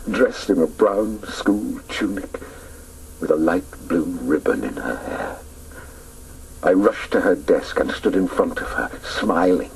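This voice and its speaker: An actor narrating a radio drama as a wistful grown man recalling his boyhood in a measured, reflective way. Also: low-pitched, vocal fry, slightly rough, full character voice, low-quality recording.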